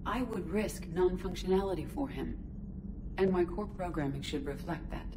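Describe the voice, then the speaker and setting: An actress playing a female starship AI who talks in an even, formal, clipped way. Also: fairly low pitch, moderate pace, background noise, close to an everyday voice. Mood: resolute. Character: female ship AI, calm and precise.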